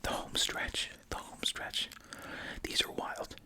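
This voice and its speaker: An ASMR host whispers right up at the microphone in a breathy, unvoiced voice. The delivery mixes reading aloud with casual chatty asides, with gum chewing through the words. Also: slow pace. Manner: chewing gum while talking.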